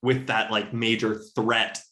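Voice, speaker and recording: A man speaking as a podcast guest, discussing fiction casually in an emphatic, halting way. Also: fairly low pitch, little pitch movement, bright, forceful tone. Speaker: podcast guest, literary editor. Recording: roomy, echoey.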